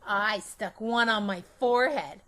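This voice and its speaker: A woman voicing a chatty, imaginative, bossy little girl in an animated children's storybook read-aloud, with childish, emphatic phrasing. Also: medium pitch, unhurried, natural voice. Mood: chatty, pleased.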